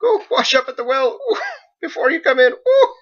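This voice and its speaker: A man acts out a scolding medieval housewife in a fantasy storybook. She is bossy and exclamatory, raised well up in pitch. The recording is slightly dull. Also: very animated, normal speed, a bit bright, heavily acted. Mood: flustered amusement. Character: fussy, scolding woman of a medieval kitchen.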